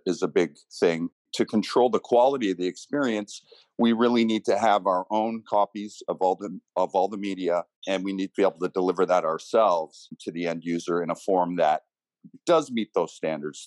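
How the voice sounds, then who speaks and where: A man giving a conversational technical explanation as a panel guest, thinking aloud in a halting way. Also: sits low, darkish tone, no echo.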